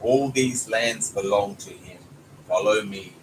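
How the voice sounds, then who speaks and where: A man's voice: lowish voice, takes it slowly, far from the mic. A man voicing a stern, commanding soldier from a children's storybook. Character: stern soldier summoning strangers before his king. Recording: noisy home recording with background sounds.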